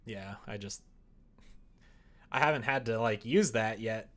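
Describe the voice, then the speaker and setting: A man chatting casually on a live stream, talking half to himself as he works, sometimes louder. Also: fairly low pitch, a little slow. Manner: mutters to himself while working, with sudden louder bursts. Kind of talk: casual stream chat while working. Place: indoors at a computer desk, keyboard typing behind.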